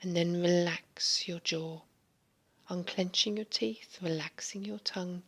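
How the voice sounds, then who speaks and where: Slow, breathy whispering woman. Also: lowish voice, steady tone, fairly bright tone. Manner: slow, soothing, largely whispered delivery. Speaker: hypnotherapist. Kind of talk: guided hypnosis recording.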